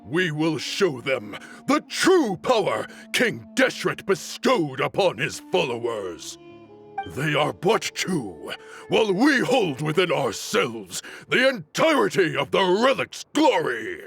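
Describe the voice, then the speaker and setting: An actor playing a proud, weary desert warrior chief in a video game, speaking in a solemn, measured, defiant way. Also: male voice, a little high, huge pitch swings, vocal fry, a bit bright, exaggerated voice. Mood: rallying. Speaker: game character. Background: game music.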